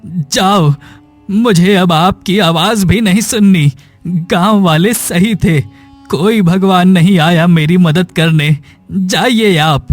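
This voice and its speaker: A man plays a poor, sick hunchback in a cartoon tale. His high voice drags slowly with plaintive despair. The delivery is melodramatic, with faint music underneath. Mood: hurt, angry. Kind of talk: dramatic Hindi cartoon story dialogue.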